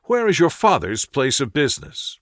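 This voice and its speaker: A man's voice: medium pitch, sing-song, normal speed, no room sound, studio quality, close to an everyday voice. A man voicing a composed, authoritative male detective with a precise, assured, lecturing manner in an audiobook. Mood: businesslike. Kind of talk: audiobook character dialogue.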